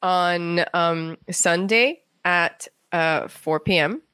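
A woman talking casually and informally as an interview guest, chatty and animated. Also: fairly low pitch, very slow, clean tone, dry recording, clean studio sound. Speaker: guest, playwright and author.

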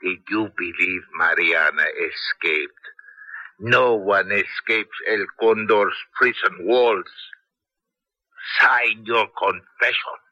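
An actor playing a menacing prison captain in a 1970s radio drama. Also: male voice, fairly low pitch, takes it slowly, pushed and bright, no room sound, lo-fi sound, heavily acted. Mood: cruel, taunting. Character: menacing male prison captain, coldly taunting, deferential to superiors.

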